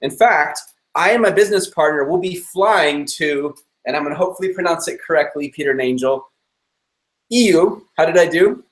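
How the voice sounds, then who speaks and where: A man's voice: fairly high pitch, a little fast. Eager man hosting in a roomy office. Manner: eager, chatty, laughs easily. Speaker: host. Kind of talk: upbeat live-stream interview. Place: his own office room.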